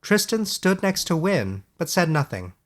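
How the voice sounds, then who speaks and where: A man reading scripted fairy-tale audiobook narration in a measured storytelling manner. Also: high-pitched, very animated.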